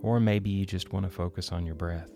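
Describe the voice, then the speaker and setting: A man with a fairly deep voice guides a contemplative meditation. Loud music plays behind his words. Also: fairly even intonation, a little fast, vocal fry.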